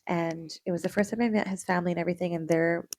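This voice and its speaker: A young woman co-hosting a casual podcast, telling stories in a chatty way with quick bursts of excitement. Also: fairly low pitch. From Canada. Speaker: podcast co-host. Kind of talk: casual chat.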